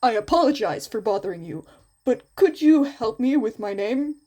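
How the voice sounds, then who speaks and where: A woman's voice: on the high side, lively intonation, normal speed, dark tone. A woman voicing an earnest, polite and determined teenage boy hero student in a story reading, with formal manners.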